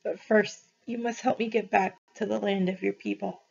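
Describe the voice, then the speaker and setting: A woman voicing a frail, calm old male shaman in a storybook, weak but reassuring in manner. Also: mid-range voice, moderate pace, vocal fry, muffled recording. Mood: weary.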